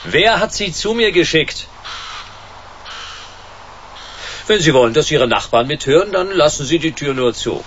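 An actor playing a dogged, wry private detective in a sci-fi noir radio drama, laconic and sardonic. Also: male voice, on the high side, lively intonation, fairly quick, fairly bright tone, lo-fi sound, slight background noise. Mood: loud, pressing.

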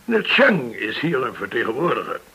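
An actor in a Dutch radio play playing a jovial, obliging bank manager with a raspy, creaky voice and courteous, effusive manner. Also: male voice, mid-range voice, very animated, moderate pace, bright, forceful tone, full character voice, poor audio.

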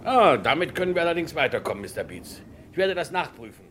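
An actor playing a polite, businesslike airport director in a vintage radio crime drama. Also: male voice, on the high side, sing-song, fast, fairly bright tone, slight background noise. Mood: obliging. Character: obliging middle-aged male airport director.

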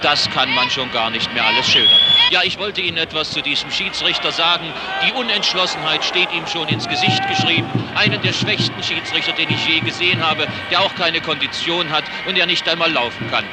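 A man's voice: on the high side, a little fast, rough recording. A man giving indignant live football commentary as a reporter. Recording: loud crowd and music behind. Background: loud stadium crowd.

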